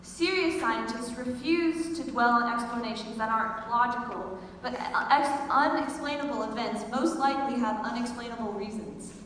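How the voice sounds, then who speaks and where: A teenage girl, a high school senior, performing a theatrical monologue. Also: normal speed, few pauses, a bit bright. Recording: very echoey hall, distant mic, faint noise.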